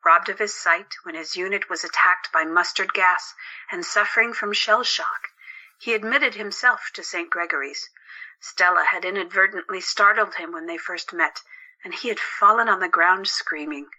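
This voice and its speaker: Bright, low-pitched woman narrator. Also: moderate pace, muffled recording. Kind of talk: scripted story narration.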